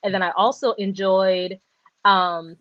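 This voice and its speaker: A woman's voice: mid-range voice, slow pace, dry recording. Woman rambling about a book on a live call, lots of likes and ums, dry lo-fi audio. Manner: rambling, animated, many fillers and restarts. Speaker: book discussion co-host. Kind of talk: casual book chat on a live stream. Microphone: video call. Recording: dull, dry lo-fi sound.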